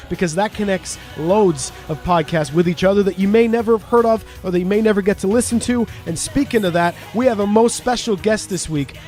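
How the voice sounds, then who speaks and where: A man with a Canadian accent co-hosting a podcast in casual, talkative chat, joking and swearing freely. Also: high-pitched. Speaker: podcast co-host.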